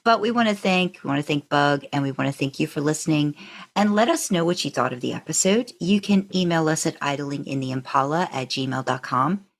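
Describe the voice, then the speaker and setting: A woman's voice: sits low, animated, a little fast. A woman co-hosting a podcast, talking in a casual, conversational style. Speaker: podcast co-host. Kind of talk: casual chat and podcast sign-off.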